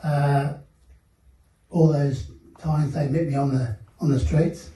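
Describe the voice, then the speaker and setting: Older Australian man, dark warm tone, slightly raised pitch, distant mic in an echoey hall; measured panel testimony. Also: level tone. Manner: measured, reflective, many fillers. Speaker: panel guest. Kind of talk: personal testimony at a seminar panel. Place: seminar hall.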